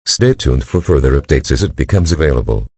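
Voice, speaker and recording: Very deep, flat male alert announcer. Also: fairly quick, very noisy. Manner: formal, impersonal, mechanical delivery. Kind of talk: scripted emergency alert announcement.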